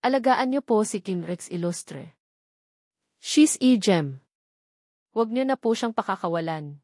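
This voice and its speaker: A man's voice: high-pitched, animated, unhurried, a little mellow, dry recording, clean studio sound. A woman voicing a loyal, discreet male security agent who speaks politely, formally and sincerely in an audio drama story. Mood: sincere, warm.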